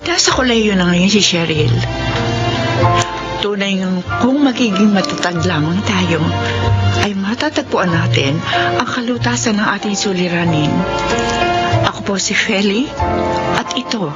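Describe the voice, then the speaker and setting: A woman's voice: medium pitch, expressive, unhurried, long pauses, natural voice. An actress playing a grateful, emotional mother in a Filipino radio drama, speaking earnestly. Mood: reflective. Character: Feli, grateful, emotional mother of a sick child. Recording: muffled radio drama recording, loud music.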